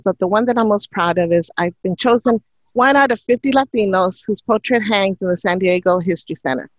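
A woman's voice: mid-range voice, no room sound. Earnest woman candidate, dull dry sound. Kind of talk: opening remarks at a candidate forum.

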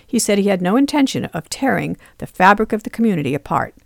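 A woman's voice: medium pitch, very animated, fast, gravelly, no room sound, clean studio sound. A woman reporter reading scripted news narration.

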